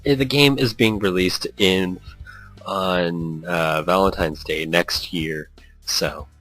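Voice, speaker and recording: A man's voice: sits low, fairly even intonation, slow pace, fairly bright tone, no room sound, rough recording. A man giving casual, chatty let's play commentary that drifts off on tangents. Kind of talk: casual, rambling game commentary with read-aloud dialogue. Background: music.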